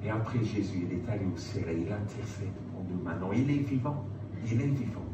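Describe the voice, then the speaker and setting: A man's voice: fairly low pitch, brisk. A man preaching an evangelical sermon, emphatic, repeating phrases and putting rhetorical questions to his listeners. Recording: roomy, distant mic, loud background noise.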